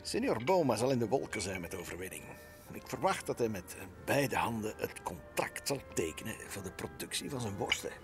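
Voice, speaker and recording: A man's voice: on the low side, sing-song, brisk, music in the background. A man voicing a greedy, scheming older Latin American president, smug and commanding, in a comic book reading. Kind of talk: comic book read aloud in voices.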